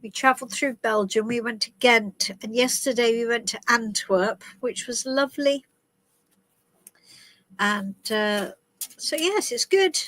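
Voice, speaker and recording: Woman, stuffy with a cold, tarot reader doing live chat. Slightly high, slow and meandering. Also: a bit bright, a little hiss or hum, rough recording. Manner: chatty and rambling, with many ums and restarts. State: has a head cold, stuffy and coughing. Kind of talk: casual live-stream chat and card reading. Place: in the woods while travelling.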